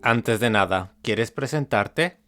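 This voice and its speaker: Male podcast host for an interview show; medium-pitched voice, short questions and reactions. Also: animated, takes it slowly, over music.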